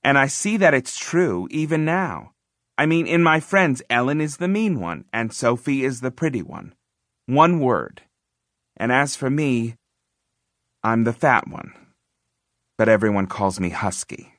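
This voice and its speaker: Bright-voiced man narrating a children's audiobook. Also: medium pitch, sing-song, a little slow, no echo, clean studio sound. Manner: conversational, confiding, emphatic. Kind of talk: expressive first-person scripted narration of a children's novel.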